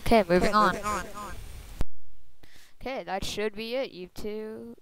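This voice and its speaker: A young woman with a soft voice giving a casual computer tutorial, chatty and full of fillers. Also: mid-range voice, measured pace, crowd noise, rough recording. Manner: soft-spoken. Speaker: tutorial maker. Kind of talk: screen-recorded computer tutorial. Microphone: computer microphone.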